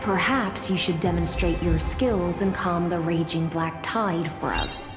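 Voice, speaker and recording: An actress playing an aloof, poised female knight of the deep sea in a video game, speaking in a measured, formal, coolly wry way. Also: natural voice. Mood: composed, commanding. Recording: echoey voice over background music.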